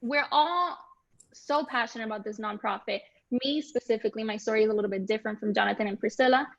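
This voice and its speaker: A woman's voice: a little high, fast talker, creaky, no echo, muffled recording. A young woman from Cuba, enthusiastic and friendly, presenting on a video call. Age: young adult. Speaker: nonprofit staff member. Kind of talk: webinar presentation.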